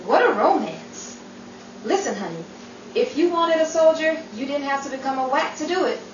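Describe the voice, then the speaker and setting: Actress as a high, genial 1940s radio-play dame, echoey. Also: female voice, animated, normal speed, recorded from a distance. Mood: wry, caring. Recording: noisy, dull, echoey room from afar.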